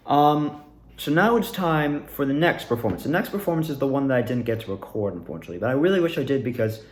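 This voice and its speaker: A young adult man with a mid-pitched voice talks in a casual, rambling way, as if recounting things to viewers. His delivery is animated and informal, and the sound is roomy and echoey. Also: fast. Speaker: amateur student actor reviewing own performances. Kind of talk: casual rambling recap, self-interview.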